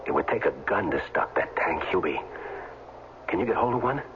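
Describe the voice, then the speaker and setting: An actor in a 1970s radio drama playing a defiant retired football player who narrates in plain, hard-boiled language. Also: male voice, sits low, very animated, moderate pace, slight background noise. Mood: grimly plotting. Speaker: first-person narrator and lead. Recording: very muffled 1970s radio recording.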